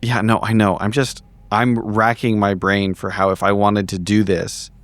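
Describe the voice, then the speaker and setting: A man's voice: lowish voice, flat delivery, moderate pace, no room sound. A man playing a tabletop roleplaying game, improvising in character with casual, sweary, analytical talk.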